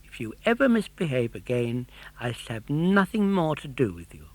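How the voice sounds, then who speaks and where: A man's voice: medium pitch, wildly swooping pitch, moderate pace, no echo, rough recording. Man voicing a stern but forgiving old woman. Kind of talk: audiobook character voice.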